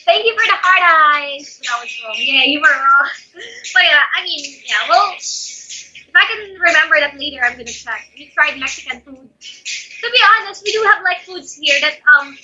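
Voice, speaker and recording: A young Filipino woman with a high, very bright voice and a Filipino accent, chatting to viewers on her live stream. She talks close to a phone in a small room, and the sound is dull and lo-fi. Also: lively intonation, moderate pace. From Philippines. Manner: chatty, thanks viewers for gifts, laughs often. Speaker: livestream host. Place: indoor bedroom with string lights. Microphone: phone on a stand, close.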